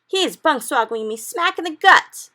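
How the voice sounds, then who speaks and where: A woman voicing angry, brutish male storybook giants, yelling in muddled grammar. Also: high-pitched, animated, moderate pace, pitched above the performer's own voice. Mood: outraged. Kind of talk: storybook character voice.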